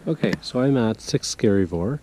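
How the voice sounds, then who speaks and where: A man working as a real estate agent, chatting casually as he guides the viewer on a walkthrough, a bit hoarse from a cold. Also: on the low side, expressive, normal speed, warm tone. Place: outdoors, walking around a property in the cold. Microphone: handheld camera while walking. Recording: outdoor noise with passing vehicles.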